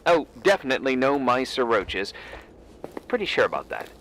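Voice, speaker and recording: A man's voice: mid-range voice, very animated, normal speed, fairly bright tone. Awkward, helpful American man, noisy background. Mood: helpful, reassuring. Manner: hesitant, stammering, good-natured. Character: helpful, awkward, eager man on the other end of phone. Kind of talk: naturalistic video game voice acting.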